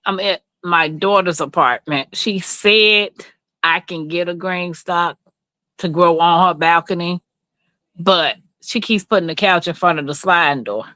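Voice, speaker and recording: Southern lady chatting on a livestream, slow talker, bright voice, pretty dry sound. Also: on the low side, dry recording. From Mississippi, rural southern United States. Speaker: livestream host. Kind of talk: casual livestream chat answering viewers.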